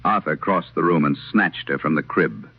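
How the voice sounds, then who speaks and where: A man performing as a late-night radio host, solemnly reading an eerie letter in measured, deliberate phrases through an old radio filter, in a vintage horror drama style. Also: rumbling low, slightly rough, full character voice. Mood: ominous, tense. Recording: tinny band-limited old radio sound.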